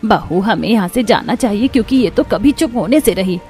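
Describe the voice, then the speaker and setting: A woman's voice: mid-range voice, expressive, a little fast, a little mellow, soft background music, lightly acted. A woman voicing a patient, older poor mother-in-law in a cartoon story, restrained and keen to avoid quarrels. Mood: weary, restrained. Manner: restrained, peace-seeking. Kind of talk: Hindi cartoon moral story dialogue.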